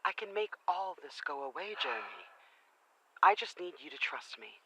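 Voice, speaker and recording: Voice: medium pitch, big pitch swings, normal speed, a bit bright, slightly rough, full character voice, poor audio. A performer playing a persuasive, formal and mysterious power broker in an audio drama, speaking coaxingly.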